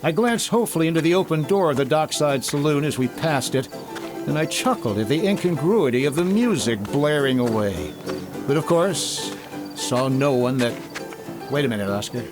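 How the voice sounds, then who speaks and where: A man's voice: fairly high pitch, animated, moderate pace, music in the background, close to an everyday voice. A man acting a shrewd, wry insurance investigator in a 1950s-style detective radio drama, speaking and narrating with dry confidence. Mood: low-key, wary.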